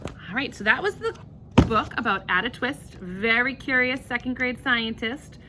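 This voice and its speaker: A woman reading a children's picture book aloud with expressive, emphatic, rhythmic delivery of rhyming verse. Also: on the high side, moderate pace, background noise.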